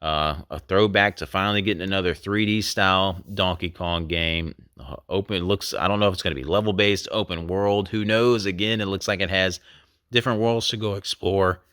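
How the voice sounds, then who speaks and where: A man's voice: low-pitched, fairly even intonation, moderate pace, a bit bright, clear, resonant tone, no echo, studio quality. A man hosting a game collecting channel, talking casually and rambling with many fillers while losing his voice. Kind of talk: casual video commentary.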